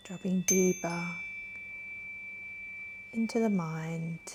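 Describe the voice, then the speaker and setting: A woman leading a guided meditation in a gentle, soothing, soft voice that is breathy at times. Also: on the low side, background noise. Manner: gentle, soothing, unhurried with long pauses. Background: soft chimes.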